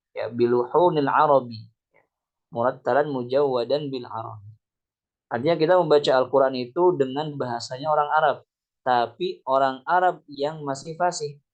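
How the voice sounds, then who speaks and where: A man teaches Quran recitation. He reads a classical passage aloud, then explains it in plain terms, prompting himself with "what?" as he goes. His voice sits at a middle pitch, and the recording is dull and lo-fi. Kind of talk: explaining a classical text line by line. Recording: dull lo-fi sound.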